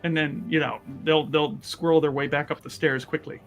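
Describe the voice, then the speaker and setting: A man narrates a tabletop roleplaying game off the cuff. His voice sits on the high side, he is wordy and halting with repeated words, and music plays quietly behind. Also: fairly even intonation.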